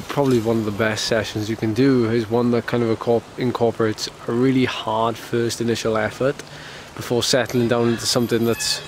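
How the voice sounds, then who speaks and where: Vlogging cyclist, male, from the UK, a bit congested, rambling and casual, with faint noise behind. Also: mid-range voice. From United Kingdom. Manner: rambling, many fillers and restarts. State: ill, slightly congested. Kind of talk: casual vlog talk to camera.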